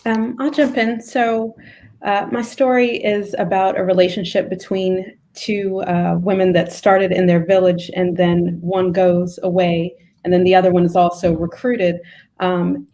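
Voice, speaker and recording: A woman's voice: fairly low pitch, darkish tone. A woman author on a panel, speaking hesitantly with many fillers over a video call.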